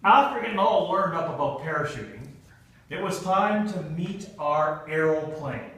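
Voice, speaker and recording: A man giving a humorous storytelling speech to an audience in a theatrical manner, with dramatic pauses and stretched emphasis. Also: on the high side, expressive, a bit bright. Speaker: Toastmasters club speaker telling a humorous tale. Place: indoor meeting room with an audience. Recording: echoey room, recorded from far off.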